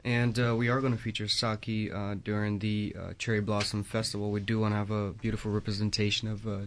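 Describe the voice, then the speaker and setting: A man's voice: on the low side, flat delivery, brisk, a little hiss or hum. A man appearing as a sommelier guest on a studio radio show, talking hesitantly with many fillers. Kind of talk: radio interview.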